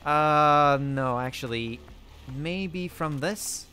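A man voicing his character in a survival game roleplay, excitably thinking aloud to himself. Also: a little high, very slow, soft background music.

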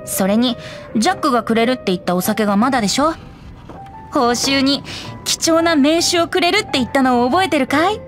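Woman playing boy bard, anime game, lightly high pitch, gentle, playful, wistful, storytelling delivery, music underneath. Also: fairly quick, close to an everyday voice. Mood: cheerful, playful. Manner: soft, storytelling, lyrical. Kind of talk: Japanese anime game voice acting.

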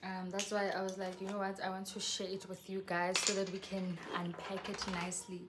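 A young woman from South Africa with a soft voice, chatting earnestly to her viewers on a casual vlog. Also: medium pitch, completely flat delivery, a little fast, heavy background noise. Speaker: YouTuber. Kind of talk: casual vlog chat to camera. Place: covered patio outside a house.

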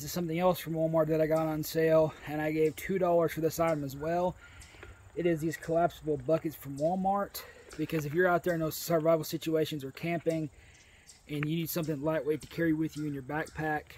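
An American man, around his thirties, casually reviewing gear outdoors in a folksy, conversational way. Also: fairly high pitch. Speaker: outdoor video host. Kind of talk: casual product review to camera. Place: outdoors in a grassy rural yard.

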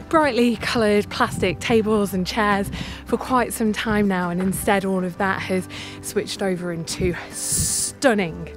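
Woman in her thirties, travel vlogger, chatty and upbeat, outdoors with background music, medium-pitched voice. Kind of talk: hiking vlog narration. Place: outdoors on a mountain trail. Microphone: phone camera at arm's length.

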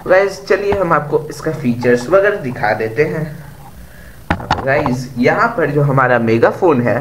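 An Indian man giving a casual hands-on DIY demonstration to viewers, mixing English words into his speech, recorded on a camera's built-in mic. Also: mid-range voice. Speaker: DIY tutorial host. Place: indoor room, sitting on a bare floor. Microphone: camera's own built-in microphone.

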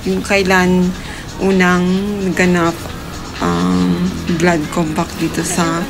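A woman's voice: lowish voice, monotone, takes it slowly, creaky, over music. A woman narrating a casual travel vlog, chatty and addressing her viewers as guys. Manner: chatty, keeps saying "guys". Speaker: travel vlogger.

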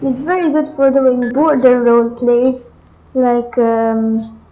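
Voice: medium pitch, level tone, takes it slowly. A gaming host giving a casual walkthrough of game updates, hesitant and thinking aloud, on a dull lo-fi microphone.

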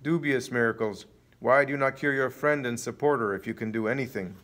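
Give the part of a hostile priest in a storybook reading, acted out by a male narrator. He is loud and sarcastic, pushing the voice up as he accuses and mocks. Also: lowish voice, big pitch swings, slow pace, husky, heavily acted. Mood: mocking. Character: hostile mocking priests, sneering middle-aged men.

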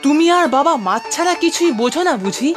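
A woman's voice: sits high, lively intonation, quick pace, music in the background. A woman voicing an aggrieved, demanding school-age boy in a cartoon, complaining insistently. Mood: indignant. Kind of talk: animated cartoon dialogue.

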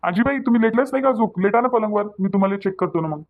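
A man's voice: high-pitched, racing, phone-quality sound, slight background noise, natural voice. A man voicing a reassuring village doctor in a cartoon, folksy and repetitive, with a Varhadi Marathi accent. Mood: gently scolding. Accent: Varhadi, Vidarbha Marathi. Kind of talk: cartoon dialogue.

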